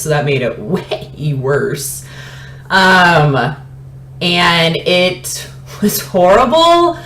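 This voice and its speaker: A woman in her late twenties talks casually to her vlog audience in a roomy, echoing space with faint background noise. Her pitch is average, and she tells personal stories in an animated, tangential way. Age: late 20s. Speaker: fitness vlogger. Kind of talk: casual chatty personal storytelling.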